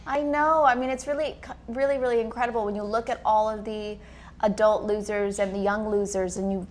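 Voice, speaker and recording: A woman's voice: medium pitch, steady tone, fairly quick, slight background noise. A woman actor answering interview questions in easy, laughing chat. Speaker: actress being interviewed.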